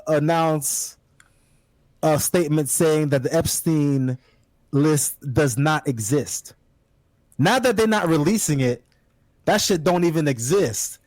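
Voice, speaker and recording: A man co-hosting a casual podcast, telling stories in an animated, teasing way. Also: on the high side, dry recording. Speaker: podcast co-host.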